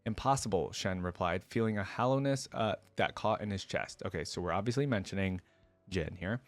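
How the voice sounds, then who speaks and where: Low-voiced man reading and reacting. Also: a little fast, no room sound. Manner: casual, enthusiastic asides with frequent "like". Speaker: reaction video creator. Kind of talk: reading a story aloud with casual commentary.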